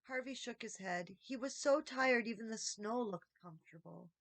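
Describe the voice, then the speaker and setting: A woman reading a children's story aloud as expressive audiobook narration. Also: fairly high pitch, moderate pace, no echo. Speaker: audiobook reader.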